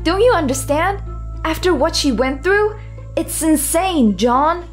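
A woman voicing an earnest, protective young woman in a comic dub. Her voice is high and emphatic, with faint music behind it. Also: animated, unhurried. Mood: upset, pleading. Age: young adult.

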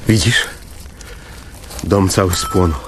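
A man's voice: deep voice, takes it slowly, noisy background. An actor playing a loving father who speaks tenderly to his daughter in a radio drama.

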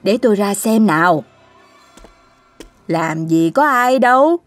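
A woman's voice: mid-range voice, lively intonation, takes it slowly, dry recording, faint music behind. An actress playing a matter-of-fact, grumbling older woman in a cartoon. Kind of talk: animated cartoon voice acting.

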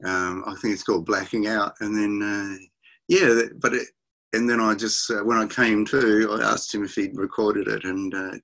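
Deep-voiced Australian man, halting talk. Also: fairly even intonation, a bit bright. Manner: halting, many restarts and fillers, laughs often. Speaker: musician guest. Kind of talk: casual interview over video call. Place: home music room, indoors. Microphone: video call.

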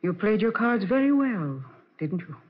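High-voiced, probing accomplice. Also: male voice, sing-song, normal speed, breathy edge, heavily acted. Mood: probing, cool. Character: probing, suspicious accomplice wanting a share. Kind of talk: 1940s radio drama acting. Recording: muffled vintage radio recording.

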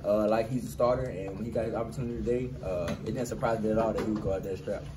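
A young man in his twenties, a college football player answering questions at a post-game press conference, talking quickly in relaxed slang and repeating phrases. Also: lowish voice. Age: 20s. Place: crowded press room indoors. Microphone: cluster of handheld press mics. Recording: roomy distant press room with noise.

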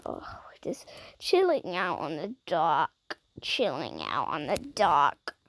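A woman giving playful, dramatic commentary over a video game, her voice croaky and strained. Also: low voice, huge pitch swings, slow pace. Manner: dramatic, silly, swings between shouting and whispering. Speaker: gaming YouTuber.

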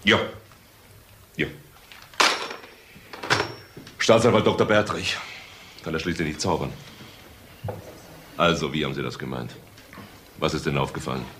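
A senior investigator with an extremely low voice. He sounds sober, measured and sceptical, in crime radio drama style, with faint noise behind. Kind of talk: German crime radio play acting.

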